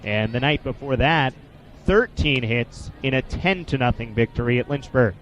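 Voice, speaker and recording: Man in his twenties, radio baseball commentary, measured descriptive delivery, medium pitch. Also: expressive, fairly bright tone, slight background noise, low-quality recording. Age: 20s. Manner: measured, descriptive, fills pauses with statistics. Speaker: play-by-play sportscaster.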